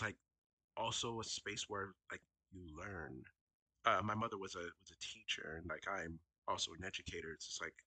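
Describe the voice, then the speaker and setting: A man whose first language is Haitian Creole speaks in a low voice with a very bright, forceful edge. He tells his story as a podcast guest, reflective and casual, and laughs easily. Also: slightly rough, no echo. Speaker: podcast guest, actor and educator. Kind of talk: casual interview conversation.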